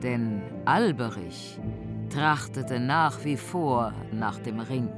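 A male actor playing a solemn god who narrates his past in a measured way, as in a fantasy radio drama. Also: mid-range voice, lightly acted. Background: cello music.

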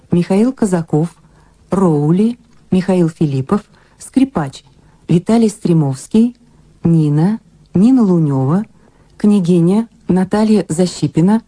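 A woman radio announcer reading scripted credits in a formal, measured way. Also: on the low side, mellow.

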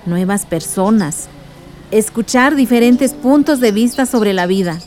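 A fairly high female voice as an affectionate aunt in a radio drama. She tells of her village past in a reflective, nostalgic, storytelling way. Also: expressive, measured pace, a little mellow.

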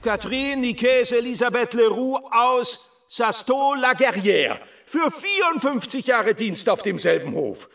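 Shrill, creaky ceremonial announcer. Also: male voice, lively intonation, fairly bright tone, heavily acted. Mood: ceremonious. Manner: drawn-out, declamatory announcing. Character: shrill, ceremonious official calling out award winners. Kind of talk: German radio play acting.

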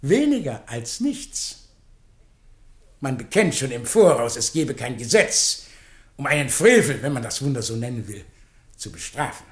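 A sarcastic, self-important defence advocate in a radio drama. His pleading swings dramatically in pitch, from hushed to shouted, in declamatory oratory. The room around him echoes noticeably. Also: big pitch swings, unhurried. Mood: triumphant, declamatory. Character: theatrical, indignant, sarcastic male defence lawyer pleading in court. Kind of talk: theatrical German radio play oratory.

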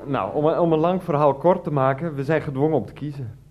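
Flustered, placating husband played by an actor, faint noise. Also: male voice, fairly high pitch, a little fast, highs cut off, close to an everyday voice. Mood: awkward, apologetic. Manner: hesitant, placating, wordy. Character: flustered, ineffectual, euphemistic male head of household. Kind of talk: satirical Dutch radio play acting.

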